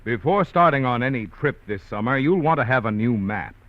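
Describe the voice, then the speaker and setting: A man's voice: mid-range voice, expressive, a little fast, dry recording, muffled recording, soft background music, natural voice. A man reading a 1950s radio commercial as a sponsor announcer, in a polished, salesy, upbeat manner. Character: smooth male sponsor announcer.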